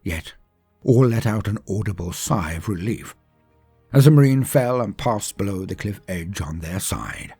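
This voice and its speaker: Male lore narrator: husky, swooping dramatic delivery, emphatic and theatrical, light music bed. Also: lowish voice, animated, a little slow, dark tone, no echo. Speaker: storyteller and lore channel host.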